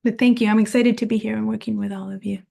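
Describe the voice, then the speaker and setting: A woman's voice: mid-range voice, flat delivery, fast, gravelly, dark tone. A woman from Venezuela, an associate professor, introducing herself at a video meeting with frequent hesitant filler sounds. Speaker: associate professor of early childhood education. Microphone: video call.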